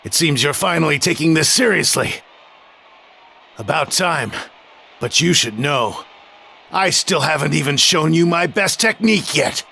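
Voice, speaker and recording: A man playing a proud, stern martial arts fighter in an anime game, speaking in a measured, self-assured, formal way with a gravelly voice. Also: on the high side, expressive, pushed and bright, heavily acted. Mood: confident, challenging. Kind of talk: anime video game voice acting.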